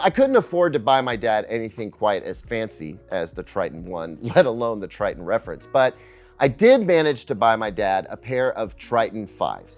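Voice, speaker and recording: American male reviewer, middle-aged, medium pitch, earnest personal storytelling with lively intonation, light music bed underneath. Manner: earnest, emotive, confiding delivery with dramatic pauses. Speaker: tech and speaker reviewer, video host. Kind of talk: personal scripted storytelling to camera.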